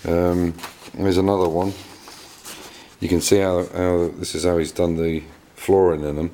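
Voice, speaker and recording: A man's voice: low-pitched, flat delivery, unhurried, mellow. A middle-aged English man with an English accent, chatting casually about his car work with many fillers and repeated words. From England. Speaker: car restorer vlogger. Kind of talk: casual workshop vlog. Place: garage workshop. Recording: echoey garage with faint noise.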